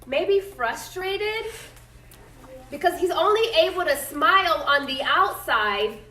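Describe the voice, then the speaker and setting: A woman teacher reads a picture book to her class and keeps pausing to ask the children questions. Her voice is high and bright. She is heard from a distance in a reverberant classroom. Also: lively intonation. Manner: asks the class questions and checks in with "okay?". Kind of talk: classroom read-aloud lesson.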